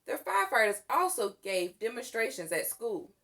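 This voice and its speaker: A woman reading a children's story aloud in an expressive, deliberate storytelling manner. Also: fairly high pitch, gravelly. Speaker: storybook reader.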